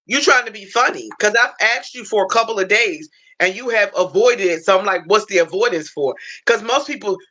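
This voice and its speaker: An American woman hosting a casual livestream, telling stories in an animated way, repeating phrases and acting out what people said. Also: medium pitch, fairly quick, hardly any pauses. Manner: animated storytelling, repeats phrases, acts out quotes. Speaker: livestream host.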